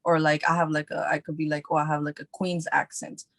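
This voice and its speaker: A young woman talks in a loose, chatty way, her sentences full of filler likes. Her voice sits low for a woman, and the recording is dry and close with no room sound. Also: flat delivery, quick pace, no echo. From New York City. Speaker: guest actress. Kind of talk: casual podcast chat.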